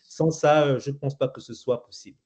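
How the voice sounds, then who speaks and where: Brief: middle-aged man from Gabon, interview guest, halting with fillers, average pitch, dull lo-fi sound. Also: fairly even intonation, a little mellow. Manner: hesitant, many fillers and restarts. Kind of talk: casual interview answers. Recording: muffled lo-fi recording.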